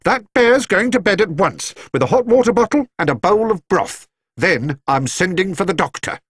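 A man's voice: high voice, lively intonation, moderate pace, fairly bright tone, breathy edge, no room sound, pitched above the performer's own voice, exaggerated voice. A man voicing a fussy, bustling middle-aged housekeeper woman who frets and scolds. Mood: alarmed. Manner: bustling, anxious.

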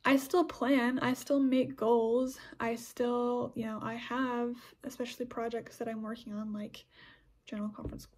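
Breathy young woman chatting casually. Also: on the high side, little pitch movement, moderate pace, a little mellow. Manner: chatty, rambling with many restarts and fillers. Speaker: podcast host. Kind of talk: casual scripture discussion podcast.

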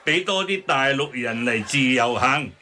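Blustery, very forceful Cantonese man. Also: mid-range voice, animated, measured pace, a little hiss or hum. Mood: earnest, blustery. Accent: Hong Kong Cantonese. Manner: blustery, exclamatory. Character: blustery, grumbling pro-government man. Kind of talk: radio drama acting.

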